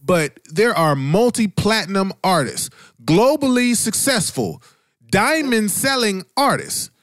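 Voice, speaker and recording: A man's voice: sits high, sing-song, slow pace, fairly bright tone, no echo, studio quality. A man on a discussion panel, giving his opinions in a casual, colloquial and emphatic way. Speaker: talk show panelist.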